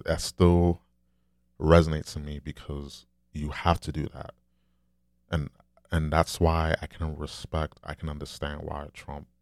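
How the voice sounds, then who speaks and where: A man with a deep, low, soft voice, talking candidly and rambling in a casual solo monologue, swearing now and then. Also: no room sound, clean studio sound.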